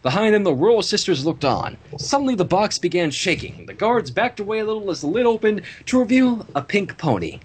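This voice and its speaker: Very animated, husky story reader. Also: medium pitch, brisk, few pauses. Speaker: fan fiction reader. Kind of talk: expressive story narration with casual asides.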